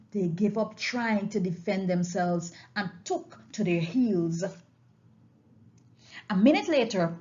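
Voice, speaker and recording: A woman reads a story aloud in a fairly high voice. Her delivery is unhurried and very animated, made for family listening. The home recording is slightly dull and lo-fi. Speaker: audiobook reader.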